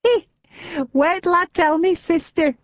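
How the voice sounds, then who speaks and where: A woman voicing a frail, chatty old northern lady with a high, quavering, mischievous voice in a storybook reading. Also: big pitch swings, normal speed, no echo, muffled recording. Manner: gossipy, cheeky, confiding.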